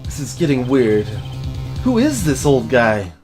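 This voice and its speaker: A woman voicing an excitable, curious teenage girl sidekick in a voiced video game let's play. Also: very deep voice, a little slow, heavily acted. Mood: suspicious. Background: game music.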